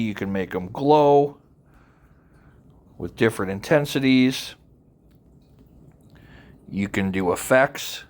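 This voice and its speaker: A man with a fairly low voice presents a software tutorial. He speaks informally and without a script, instructing one step at a time. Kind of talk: casual, unscripted software tutorial narration.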